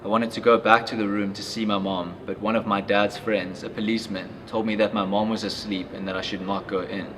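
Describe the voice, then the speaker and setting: A young man reading a life story aloud, then reflecting in a halting way with lots of fillers. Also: fairly low pitch, flat delivery, noisy background. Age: young adult. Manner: halting, reflective when speaking freely, with many fillers. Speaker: youth reader.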